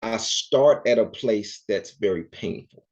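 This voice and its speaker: Low, dark-voiced man, earnest and reflective. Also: unhurried. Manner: earnest and reflective, with soft laughs. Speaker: podcast guest, entertainer and author. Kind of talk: casual interview conversation. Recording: dull lo-fi audio.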